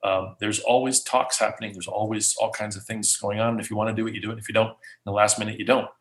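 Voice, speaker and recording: A man, a guest speaker, answering questions casually in an animated way, restarting often. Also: on the low side, fast talker. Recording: roomy echo.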